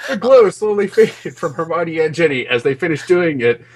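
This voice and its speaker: Animated higher-voiced man reading fan fiction. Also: normal speed. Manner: mock-earnest, often breaking into laughter. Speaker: podcast host reading fan fiction aloud. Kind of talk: comic dramatic reading.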